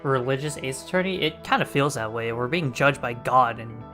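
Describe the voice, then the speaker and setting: Female game streamer, extremely low pitch, playful, casual reactions, faint music behind. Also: moderate pace, fairly bright tone. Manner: jokey, playful, laughs often.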